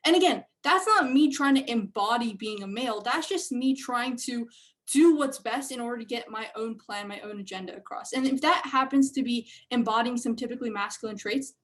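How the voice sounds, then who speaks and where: A woman speaking as a panelist in a casual discussion, thoughtful and articulate. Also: on the high side.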